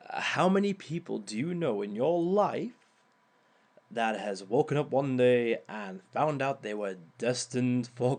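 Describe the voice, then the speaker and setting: A suave villain, played by a man, lectures a seminar with a gravelly, fry-edged voice at a mid-range pitch. He takes his time and drawls each point, dry and sardonic, slipping in small amused laughs. It is an audio roleplay monologue. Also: darkish tone, full character voice. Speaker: villain instructor.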